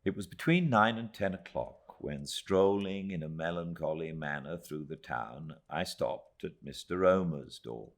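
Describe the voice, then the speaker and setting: British man, deep voice, very animated intonation, audiobook narrator, literary storytelling, measured dramatic phrasing. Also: gravelly. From Britain.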